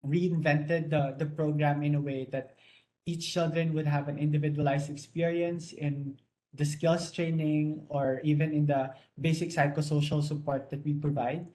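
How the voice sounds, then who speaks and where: A man's voice: on the high side, flat delivery, moderate pace, mellow, rough recording. A young man speaking earnestly as a panelist about leading a community project, fairly fluent with a few restarts. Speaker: youth project leader, panelist. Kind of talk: panel discussion.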